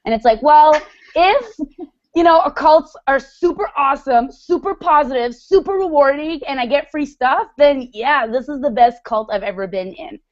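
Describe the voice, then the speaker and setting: A woman around thirty hosts an online hangout, gushing and upbeat. Her voice sits a little high and comes through dull and lo-fi over a video call, with a dry, close sound. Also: animated, normal speed, no echo. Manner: effusive and upbeat, heavy use of "super". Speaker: host.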